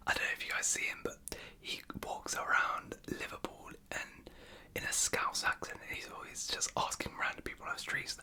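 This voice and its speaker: A British ASMR host whispering casual, unscripted chat in a hesitant, rambling way. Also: normal speed. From United Kingdom.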